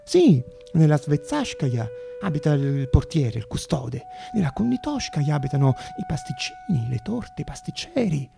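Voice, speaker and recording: A man playing an excitable, obsessive young student, muttering to himself and bursting into exclamations in a theatrical monologue. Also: a little high, moderate pace, creaky, few pauses, over music, exaggerated voice. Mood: pondering, curious.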